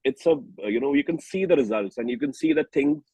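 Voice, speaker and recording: A man's voice: medium pitch, expressive, a little fast, warm tone, husky, no echo, rough recording. A young man with an Indian accent, chatting earnestly and reflectively as an interview guest, often saying "you know". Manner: earnest, reflective, peppered with 'you know'. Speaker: interview guest, singer-songwriter. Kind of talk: casual interview chat.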